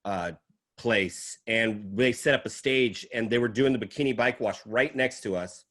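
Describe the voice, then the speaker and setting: A man hosts a laid-back chat with a fellow comic. He asks his questions lightly, tosses in jokes and short reactions, and tells his own stories. His pitch is ordinary for a man. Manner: relaxed, joking, quick reactions. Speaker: podcast host. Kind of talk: casual interview chat.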